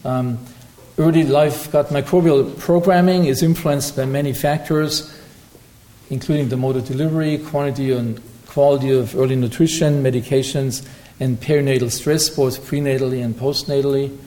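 A man presents research findings in an academic lecture and takes audience questions. His voice is mellow and dark, and his delivery is hesitant, full of ums and restarted phrases. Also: medium pitch, steady tone, normal speed.

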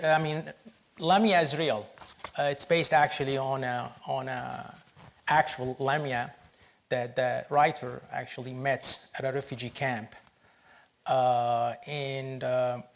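A man from Syria, a film producer answering interview questions in a halting, earnest way with many restarts. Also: medium pitch, vocal fry.

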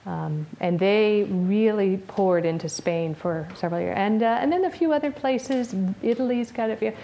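A woman's voice: medium pitch, normal speed, gravelly, mellow, poor audio. A woman giving an academic talk to an audience in a conversational way, with frequent fillers and restarts. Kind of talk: academic lecture with audience questions. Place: lecture room with audience.

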